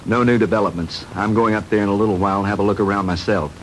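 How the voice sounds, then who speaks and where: An actor playing a calm, matter-of-fact man investigating a disappearance in a 1960s B-movie. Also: lowish voice, fast, natural voice. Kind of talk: 1960s B-movie film acting. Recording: noisy old 1960s film soundtrack.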